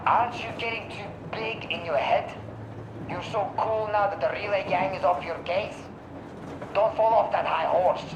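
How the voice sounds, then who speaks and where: An actor playing a blunt, teasing survivor in a video game, scolding but friendly over a two-way radio. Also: male voice, sits high, normal speed. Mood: mocking, scolding. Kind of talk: dramatic game dialogue. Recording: echoey, noisy radio transmission.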